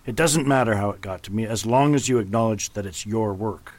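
An actor playing a cool, formal university chancellor whose lines are clipped in a radio drama. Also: male voice, fairly low pitch, brisk, darkish tone, no echo, natural voice. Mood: cold.

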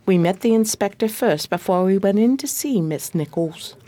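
Woman acting an earnest, very expressive detective's assistant. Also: medium pitch, moderate pace, dry recording, close to an everyday voice. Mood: narrating. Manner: expressive, formal storytelling. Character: earnest, easily astonished female detective's assistant and narrator. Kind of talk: period radio drama narration and dialogue.

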